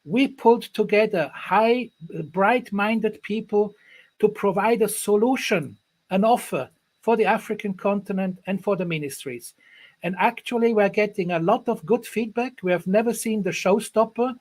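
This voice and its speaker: High-voiced man, earnest, restarting phrases. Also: darkish tone, rough recording. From Ghana. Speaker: guest, rail project executive. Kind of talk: online panel discussion.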